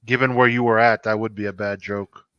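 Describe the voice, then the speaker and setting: A man's voice: fairly low pitch, level tone, fairly quick, pushed and bright, clear, resonant tone, highs cut off. A man co-hosting a casual stream, dropping in short comments that sum up what the host has said. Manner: brief interjections that sum up the story. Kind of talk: casual chat.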